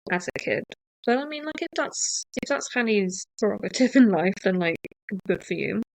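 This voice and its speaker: A woman hosting a podcast, talking in a rambling, hesitant way with many fillers and restarts, and deliberately speaking a little slower than usual. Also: mid-range voice, creaky, no echo, rough recording. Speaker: podcast host. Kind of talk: casual rambling monologue.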